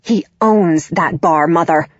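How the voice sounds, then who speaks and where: Woman narrator as defiant young woman, emotional, husky, audiobook fiction, dry sound. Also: mid-range voice, animated, unhurried, no room sound, highs cut off. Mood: indignant, hurt. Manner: emotional, indignant outbursts. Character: upset, defiant young woman standing up to parents.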